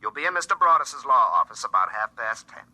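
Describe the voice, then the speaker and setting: An American actor playing a brisk, curt, commanding young man from the future in a 1950s radio drama. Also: mid-range voice, very animated, bright, forceful tone, full character voice, rough recording. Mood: brisk, bossy. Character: brisk, bossy future self of a young repairman. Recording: vintage radio broadcast.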